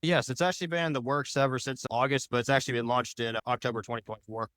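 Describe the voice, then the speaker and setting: Male podcast guest, very quick and rambling, crisp smooth tone, casual answers. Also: mid-range voice, fairly even intonation, fairly bright tone, dry recording. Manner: rambling, loosely phrased explanations. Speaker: podcast guest, audio drama creator.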